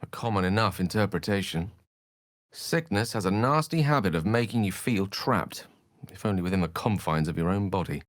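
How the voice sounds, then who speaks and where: A man's voice: sits low, lively intonation, normal speed, no room sound. A man voicing an eloquent, self-assured wizard in a fantasy role-playing game, speaking articulately in ornate, theatrical phrases with dry wit. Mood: dry, composed. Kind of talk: theatrical game dialogue in short separate lines.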